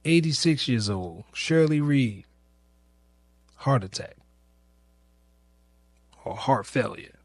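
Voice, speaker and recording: A man hosting a podcast, speaking in a reflective personal monologue, informal and searching, with long pauses, repeated phrases and questions put to himself. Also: mid-range voice, lively intonation, dry recording. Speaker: podcast host.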